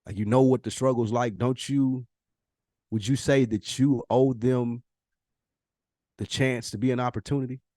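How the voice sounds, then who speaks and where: A man co-hosting a podcast speaks in relaxed, loose banter, teasing his friend and slipping into acted-out quotes as he goes. His voice sits at an ordinary pitch for a man. The sound is dry and close to the mic, with no room around it. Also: moderate pace, long pauses, dry recording. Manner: joking, teasing, acts out quotes. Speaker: podcast co-host. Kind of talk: casual chat.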